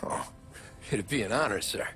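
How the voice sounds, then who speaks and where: A man's voice: fairly low pitch, moderate pace, a bit bright, close to an everyday voice. An actor playing a polite, earnest young male cab driver in a video game cutscene. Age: young adult. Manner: polite, respectful. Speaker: taxi driver. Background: cinematic music.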